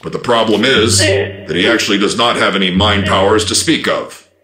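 A man narrating in the style of an old radio serial, with dramatic, measured announcer delivery. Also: fairly low pitch. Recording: echoey, loud music underneath.

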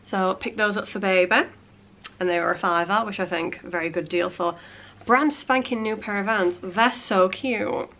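A woman's voice: mid-range voice, normal speed, fairly bright tone. A woman, chatting casually as a vlogger at home, her voice congested from a cold, full of "like" fillers. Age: late 20s. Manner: chatty, frequent "like" fillers, playful asides. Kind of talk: casual chat. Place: small cluttered home room.

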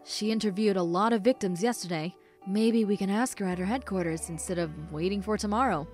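A woman's voice: mid-range voice, brisk, a little mellow, lightly acted. Keen lady detective, played by a woman, mid-range voice, earnest, amateur cartoon drama, faint music underneath. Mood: thoughtful. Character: keen young female police detective, earnest and impatient. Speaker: voice actor in a fan animated film. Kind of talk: scripted amateur dramatic dialogue.

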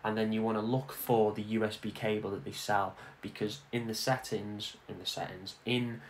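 Man, lowish voice, casual tech tutorial, informal conversational explaining, presenter at a desk. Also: little pitch movement, moderate pace, a little hiss or hum. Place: indoor room at a home desk.

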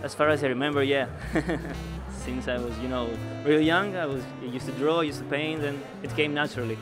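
Halting Greek-accented man over guitar music. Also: on the high side, fairly even intonation, rough recording. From Greece. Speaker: artist, interview guest. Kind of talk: on-camera interview. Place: indoor art fair booth. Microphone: handheld interview mic held out by interviewer.

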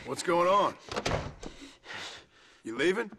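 An actor playing a gruff, weary gunslinger in a video game, terse and deadpan. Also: male voice, sits high, takes it slowly, background noise. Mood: dry, wary. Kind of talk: dramatic video game acting.

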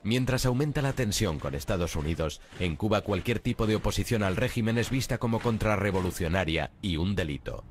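A man narrating a history documentary in a measured, grave, scripted delivery. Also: fairly low pitch, animated, a little hiss or hum. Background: music bed.

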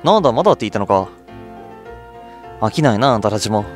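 Man playing a terse, gruff loner. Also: lowish voice, very animated, fast, faint music behind, pitched below the performer's own voice. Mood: dismissive. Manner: terse, blunt. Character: gruff, aloof man who keeps to himself.

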